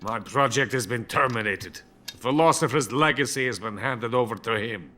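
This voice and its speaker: An actor playing a bitter, boastful older Soviet weapons scientist who is drunk and rambles theatrically in a video game. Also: male voice, medium pitch, normal speed, sharp tone, close to an everyday voice. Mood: bitter resentment. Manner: grandiose, self-pitying, theatrical rambling. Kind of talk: dramatic video game voice acting.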